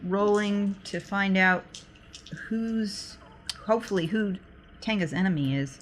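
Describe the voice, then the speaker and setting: A woman's voice: mid-range voice, a little slow. A woman playing a tabletop game, casually thinking aloud as her character. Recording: clear background noise.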